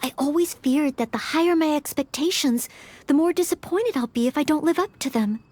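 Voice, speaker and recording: A woman's voice: high voice, a little fast, a little mellow, natural voice. A woman playing a humble, polite young maid who dreams of becoming a knight, in a video game. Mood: shy, hesitant. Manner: polite, eager, sincere.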